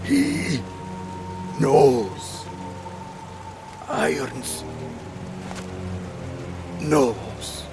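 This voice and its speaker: A dying terrorist mastermind in a video game. He labours out each word very slowly in a creaky voice that lurches in pitch. Music and rain sit behind him. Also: huge pitch swings, heavily acted. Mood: strained, ominous. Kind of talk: video game cutscene acting.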